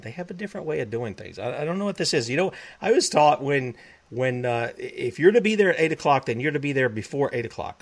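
A man hosting a web broadcast, preaching emphatically, repeating himself and quoting scripture. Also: mid-range voice. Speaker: talk show host.

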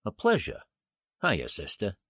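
A man's voice: on the low side, huge pitch swings, measured pace, a bit bright, slightly rough, no echo, studio quality, full character voice. A man acting a sneering tough gangster henchman with slangy speech in a 1940s-style radio drama. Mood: sneering, menacing.

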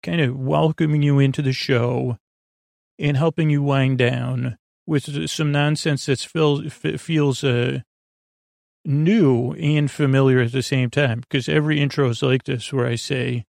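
A middle-aged man with a gravelly voice hosting a bedtime podcast, talking in a halting, meandering monologue that keeps interrupting itself. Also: mid-range voice, fairly even intonation, darkish tone, dry recording, studio quality. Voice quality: gravelly, creaky. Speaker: podcast host.

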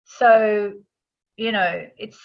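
A woman's voice: mid-range voice, steady tone, drawn-out words, gravelly. A woman speaking as a guest author, casually explaining her subject in a conversational way, checking in with the listener as she goes. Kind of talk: casual explanatory interview talk. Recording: dull lo-fi sound.

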